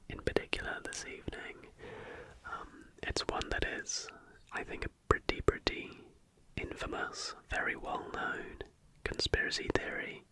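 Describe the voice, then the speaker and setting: An ASMR host speaks entirely in a soft whisper. The delivery is slow and unhurried, reading out material as a presenter would for relaxed listening. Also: very high-pitched, rough recording. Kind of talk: ASMR, softly whispered reading of facts with casual asides.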